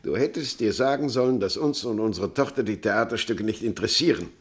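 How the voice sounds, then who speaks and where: An actor playing a grave, pleading pastor and father in a German radio play, speaking in a solemn, measured way. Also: on the low side, natural voice.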